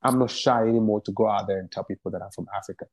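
A man's voice: lowish voice, fairly even intonation, rapid-fire, breathy edge, no room sound. A man from Ghana, a musician and activist, answering interview questions in a passionate, emphatic way and repeating phrases for stress.

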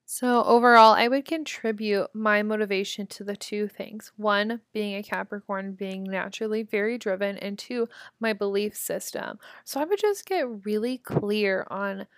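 A raspy, hoarse young woman in her twenties, hosting a motivational podcast in a chatty, breezy way with casual swearing. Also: mid-range voice, normal speed, a bit bright, no echo. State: hoarse from screaming. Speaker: podcast host.

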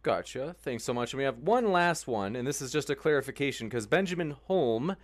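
A male host in a middle-pitched voice moves quickly between viewer comments. He thanks people briskly and slows down to read each quote with care. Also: lively intonation. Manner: brisk, reads quotes slowly and carefully. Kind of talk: livestream Q&A reading comments.

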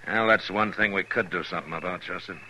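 An actor playing a stern, steady, terse frontier marshal in a 1950s radio western. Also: male voice, low-pitched, quick pace. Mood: grim, terse. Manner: terse, commanding. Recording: noisy vintage radio drama recording.

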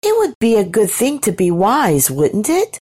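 A woman's voice: mid-range voice, huge pitch swings, unhurried, husky, voice pushed up, exaggerated voice. A woman voicing an innocent, trusting young woman from a storybook, in a light, naive manner. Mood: wondering. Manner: light, naive, bright-eyed.

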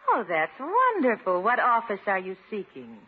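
A woman's voice: medium pitch, wildly swooping pitch, normal speed, breathy edge. An actress playing a gracious lady friend in a 1950s radio comedy, poised and wryly teasing. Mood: curious, gracious. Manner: poised, wry, politely amused. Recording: noisy, tinny 1950s radio recording.

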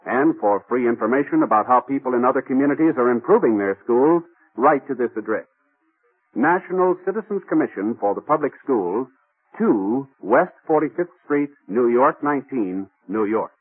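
A man's voice: medium pitch, animated, normal speed, slightly rough. Tinny vintage American male radio announcer. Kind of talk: formal 1950s radio announcing. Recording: tinny old radio broadcast, faint music.

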